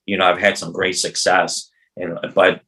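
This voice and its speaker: A man in his fifties with a fairly low voice hosts a podcast. He talks in a loose, rambling, conversational way, repeating words as he goes and throwing in casual slang. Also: level tone, moderate pace, pushed and bright, poor audio. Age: 50s. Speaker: podcast host. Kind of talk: casual, rambling interview chat.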